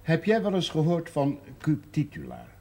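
Lonely blunt old guy in a 70s tv drama, husky, voice goes up and down a lot. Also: on the high side, huge pitch swings, normal speed, darkish tone, full character voice. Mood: businesslike, persuasive. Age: older. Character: lonely, blunt old widowed man of seventy-two. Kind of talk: naturalistic 1970s television drama acting.

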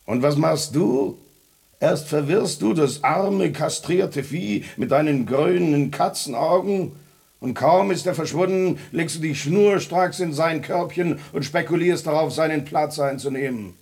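A man's voice: a little high, measured pace, gravelly, darkish tone, slightly rough, full character voice. A man playing a scornful, proud lone tomcat in a radio drama, speaking in a haughty, sardonic, mock-formal way. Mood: accusing.

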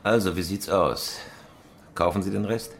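Deep, gravelly, terse career robber. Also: male voice, normal speed, a little hiss or hum. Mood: calm, sly. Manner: terse, controlled, businesslike. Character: Parker, cool, hard-nosed professional male robber. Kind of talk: German radio crime drama acting. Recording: loud music bed.

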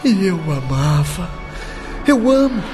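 A grieving son in a radio drama, with a very high voice. He reproaches his lost father slowly, drawing out his anguished lament over loud music. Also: big pitch swings, exaggerated voice. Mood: anguished longing. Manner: anguished, lamenting, drawn-out delivery.

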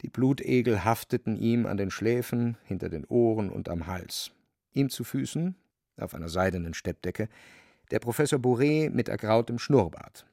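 Ein Mann erzählt als Erzähler eines literarischen Hörspiels in dramatischem Ton. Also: male voice, fairly low pitch, animated, normal speed, vocal fry, dark tone, no echo, clean studio sound. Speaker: narrator. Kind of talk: dramatic literary radio play narration.

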